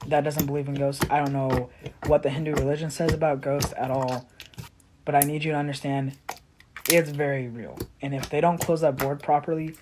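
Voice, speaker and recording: A woman's voice: low-pitched, steady tone, a little fast, noisy background. A woman telling a casual first-person story in a blunt, sweary, exasperated manner, acting out the dialogue.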